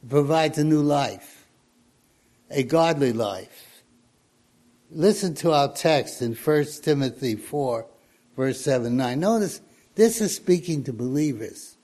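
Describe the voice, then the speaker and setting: A man in his eighties preaching a sermon, reading scripture in a slow, measured way. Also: mid-range voice, animated, mellow. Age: 80s.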